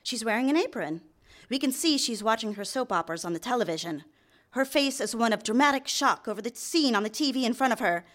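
A high-pitched young secretary in a live radio drama. She is eager and apologetic, hesitant and deferential with others, and intimate and confessional as a narrator. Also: expressive, fast talker, fairly bright tone. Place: live on stage in a theater.

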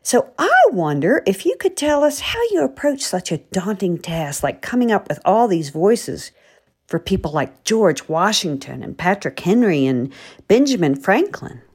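A woman speaking as an author and podcast interviewer in a chatty, expressive way. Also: medium pitch, unhurried, breathy edge.

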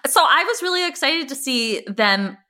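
A woman's voice: high-pitched, animated, moderate pace, pushed and bright. A woman co-hosting a podcast, bantering casually about television in an emphatic, slangy, gushing way. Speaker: podcast co-host.

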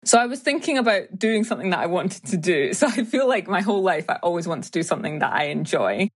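A woman's voice: fairly low pitch, sing-song, fast, breathy edge. A woman in her thirties from Scotland with a Scottish accent, chatting casually as an interview guest, full of fillers and light laughter. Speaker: guest, YouTube creator.

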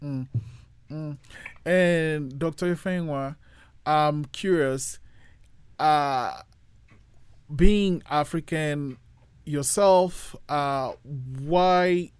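Smooth-voiced hesitant male podcast host. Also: a little high, extremely slow, long pauses, no echo. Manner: hesitant, with frequent fillers and restarts. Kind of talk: hosting an interview podcast.

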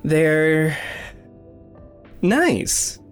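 An actor playing an awkward, self-deprecating man in an audio drama, speaking in a halting, uneasy way. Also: fairly high pitch, sing-song, heavily acted. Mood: nervous, self-deprecating. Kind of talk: naturalistic audio drama dialogue. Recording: music underneath. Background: background music.

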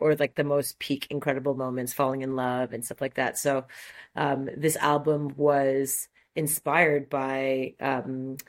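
A woman in her forties from Montreal, a native French speaker, talking enthusiastically as an interview guest with many fillers and restarts. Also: rumbling low. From Montreal, Canada. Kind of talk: casual interview conversation.

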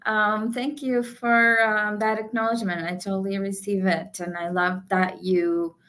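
A woman's voice: mid-range voice, little pitch movement, measured pace, a bit bright. A woman from India joins an interview podcast as a guest and talks about her work as a business coach. She speaks earnestly and positively, with frequent ums as she gathers her thoughts. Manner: earnest, positive, many fillers. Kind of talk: casual interview.

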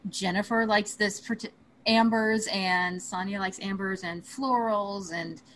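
A woman's voice: mid-range voice, steady tone, a little slow, fairly bright tone, poor audio. Woman, reflective and conversational, loops back to her point, light hum behind. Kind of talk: casual group chat.

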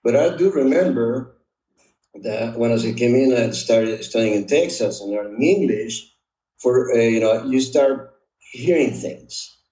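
A middle-aged or older man from Honduras, a native Spanish speaker with a Honduran accent, telling personal stories in a halting, reflective way. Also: medium pitch, expressive, dark tone. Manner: halting, reflective, often repeats "you know". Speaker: podcast guest. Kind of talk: personal storytelling in conversation. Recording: roomy echo, distant microphone.

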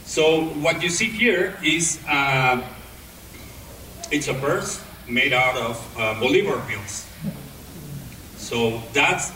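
Bright-voiced man with an accent, echoey hall. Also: mid-range voice, recorded from a distance, slight background noise. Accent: non-native English. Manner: halting, many pauses and restarts. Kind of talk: presentation to an audience.

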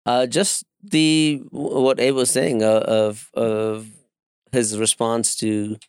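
A man co-hosting a casual podcast, soft-spoken and trailing off. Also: mid-range voice, flat delivery, slow, smooth, no echo, studio quality. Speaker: podcast co-host.

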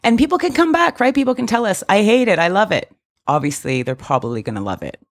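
A woman co-hosts a casual podcast chat, teasing her co-hosts and laughing often. Her voice rises and falls in lively swings. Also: mid-range voice, fast, background noise. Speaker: podcast co-host.

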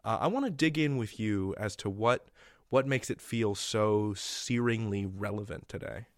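A man's voice: fairly low pitch, moderate pace, dry recording, studio quality. An American man hosting a podcast in a chatty, folksy, emphatic way as he introduces and interviews.

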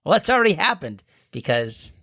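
A man's voice: a little high, sing-song, brisk, gravelly, sharp tone, husky, dry recording, clean studio sound. A man co-hosting a casual podcast, chatty and teasing, laughing easily and rambling with fillers. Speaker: podcast co-host.

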